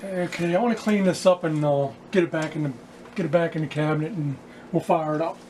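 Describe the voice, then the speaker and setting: A man giving a casual hands-on repair walkthrough, speaking haltingly with pauses in mid-sentence. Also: a little high, darkish tone, a little hiss or hum. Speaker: vlogger repairing vintage radios.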